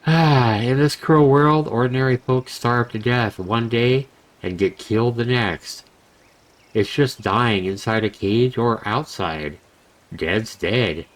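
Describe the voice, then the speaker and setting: A man's voice: medium pitch, slow, close to an everyday voice. A man voicing a cheeky, carefree young male adventurer in a breezy, teasing, slangy way for a story game. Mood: world-weary.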